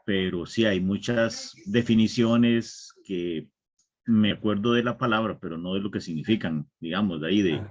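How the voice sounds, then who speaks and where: A man's voice: lowish voice, lo-fi sound. A man speaking as a student in an online class, answering hesitantly with many fillers.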